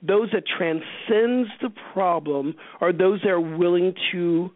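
Guy host w a high voice, halting reflective questions, dry narrow radio sound. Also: no room sound. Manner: halting, repeats words as he builds a thought. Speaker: interviewer, show host. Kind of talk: reflective conversational interviewing.

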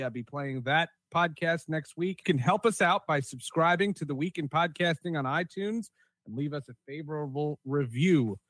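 A man's voice: on the high side, fairly bright tone, no room sound. A man hosting a podcast in a casual, chatty style. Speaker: podcast host.

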